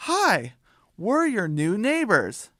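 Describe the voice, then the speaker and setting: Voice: medium pitch, huge pitch swings, slow pace, fairly bright tone, no room sound, studio quality, exaggerated voice. A performer voicing a polite, sensible, friendly chicken in a radio parody.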